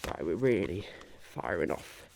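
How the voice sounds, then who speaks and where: A man playing a scheming, self-important businessman in a soft-spoken ASMR roleplay, halting and musing as he repeats himself. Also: sits low, very animated, gravelly, a little hiss or hum, full character voice.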